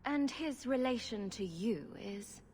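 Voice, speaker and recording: A woman's voice: fairly high pitch, lively intonation, measured pace, darkish tone, slight background noise. A woman playing a bold, wry, sardonic adventurer heroine in a video game, confident and challenging. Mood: skeptical, challenging. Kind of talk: scripted video game acting. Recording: long reverb with game music.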